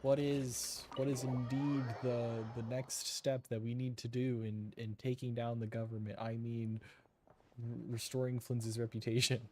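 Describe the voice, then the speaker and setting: Mellow, casual game streamer. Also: mid-range voice, flat delivery, a little slow, slight background noise. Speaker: streamer playing a video game. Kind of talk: casual gameplay commentary, reading game text aloud.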